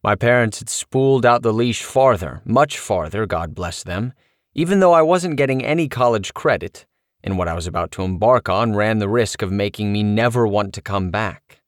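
Animated man narrating his memoir. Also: on the low side, normal speed, dry recording, studio quality. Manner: animated, expressive storytelling.

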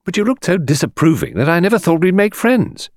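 A man doing a pert, cheeky girl of about seventeen, talking in a raised, bouncing voice full of teasing swings. She chatters on theatrically and loves to shock, pitched well above his own speaking voice, in a dry, close storybook reading. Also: big pitch swings, brisk, no echo. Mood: chatty. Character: impudent cheeky young woman, teenage actress.

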